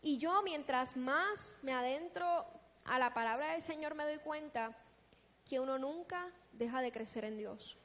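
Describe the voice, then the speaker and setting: A woman preaching a sermon in a deliberate, emphatic way, posing rhetorical questions. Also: high voice, a bit bright. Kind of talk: sermon with scripture exposition. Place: large echoing church hall. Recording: long reverberant hall sound.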